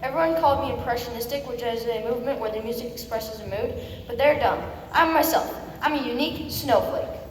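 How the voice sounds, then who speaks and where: A woman's voice: on the high side, a little fast, close to an everyday voice. A young girl of about eleven playing a grouchy, self-important composer in a scripted comic school play. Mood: defiant, proud. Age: child, about 11 or 12. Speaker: student performer in readers theater. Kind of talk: scripted comic stage recitation. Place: school performance in a large echoey room. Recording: echoey hall, distant mic, faint noise.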